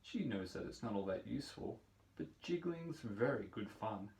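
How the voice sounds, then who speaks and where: A man reading a rhyming picture book aloud for young children in a measured, playful storytelling manner. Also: on the low side, animated, gravelly, a little mellow. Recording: roomy echo, distant microphone.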